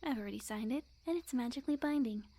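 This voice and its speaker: A woman playing a sweet, smug, possessive witch in love, in a soft, breathy ASMR roleplay addressed to the listener. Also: a little high. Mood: sweetly coaxing. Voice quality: soft, breathy, often whispered. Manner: slow, coy, teasing, overconfident.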